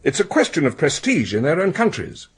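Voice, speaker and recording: An actor playing a proud, formal British academic administrator in a radio drama, precise and stuffy with some hesitation. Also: male voice, on the high side, very animated, a little fast, husky, full character voice. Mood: precise, explanatory. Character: proud, formal academic secretary of an exam board.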